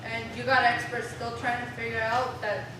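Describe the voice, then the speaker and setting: A woman's voice: on the high side, monotone, normal speed. A female youth theater performer reading lines aloud in a live staged production. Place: indoor live theater event. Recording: echoey room, distant, noisy.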